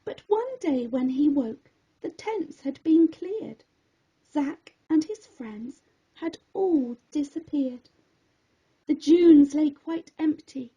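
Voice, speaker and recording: A woman narrates a rhyming children's story with theatrical expression. Her voice is high and very melodic, rising and falling widely. She takes her time over each phrase. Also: warm tone, muffled recording.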